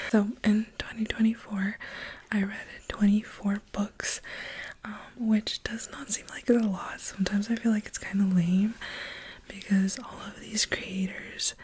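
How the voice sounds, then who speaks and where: A woman of thirty talking softly and in a rambling way into a close microphone for an ASMR video. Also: medium pitch, a little hiss or hum, low-quality recording. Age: 30s. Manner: rambling, soft-spoken, giggly. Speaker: ASMR creator. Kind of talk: soft-spoken ASMR chat.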